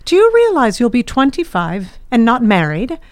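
A woman playing an overbearing, fretful middle-aged mother in an audio drama, theatrical and quick to take offense. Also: a little high, huge pitch swings, a little mellow, no echo. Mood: fretful, needling. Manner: guilt-tripping, theatrical, indignant.

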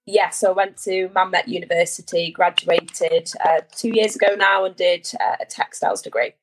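A woman in her twenties, chatting as a guest on a remote interview in a relaxed, friendly way with lots of "like". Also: on the low side, normal speed, poor audio. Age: 20s. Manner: friendly and relaxed, uses "like" often. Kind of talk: casual interview chat.

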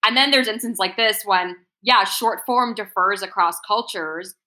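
A woman's voice: mid-range voice, moderate pace, bright, forceful tone. A woman from Singapore with an American accent, sharing personal stories in an interview in an earnest, articulate way. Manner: articulate, earnest, lots of "right?" and "um". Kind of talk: reflective personal storytelling.

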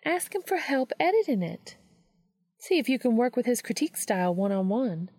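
A woman voicing a warm but firm woman mentor in a storybook reading, coaxing and insistent. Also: fairly high pitch, sing-song, moderate pace, dry recording, pitched above the performer's own voice. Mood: gently coaxing.

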